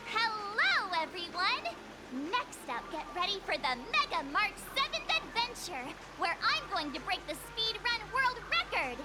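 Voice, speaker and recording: A woman's voice: very high-pitched, very animated, a little slow, heavy background noise, heavily acted. A woman voicing a bubbly, boastful teenage girl adventurer in a game, perky and eager.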